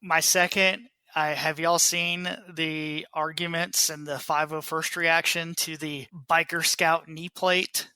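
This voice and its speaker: A man hosting a podcast, chatting casually in an animated, opinionated and informal way. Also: fairly high pitch, takes it slowly, few pauses, smooth, no echo. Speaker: podcast host. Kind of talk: casual panel chat about a TV trailer.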